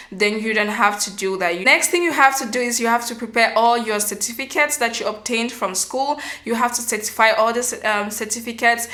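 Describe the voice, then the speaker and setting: A young woman from Cameroon, giving earnest step-by-step advice in a vlog, often pausing on "um" and restarting. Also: a little high, sharp tone. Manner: earnest, explanatory, frequent um fillers and restarts. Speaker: vlogger.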